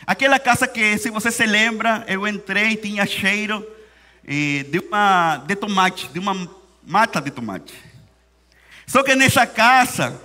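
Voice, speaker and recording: A Venezuelan man preaches in a second language, his accent plain as he leans on repeated phrases and slows for weight. His voice sits high and bright. Long reverb from the church hall trails each line. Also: animated. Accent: Venezuelan Spanish. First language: Spanish. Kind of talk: sermon.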